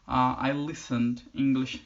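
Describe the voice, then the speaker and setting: Brazilian man vlogging in careful, halting English, average pitch; muffled, lo-fi audio. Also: flat delivery. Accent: Brazilian Portuguese. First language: Portuguese. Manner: halting learner English, many restarts. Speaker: channel host. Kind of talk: casual vlog talk. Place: indoors in the UK.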